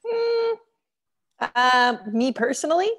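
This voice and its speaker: A young woman, a magazine editor, chatting casually on a video call through earbuds, with lots of fillers and quick laughs. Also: sits high, big pitch swings, a bit bright. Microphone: earbud headset on video call.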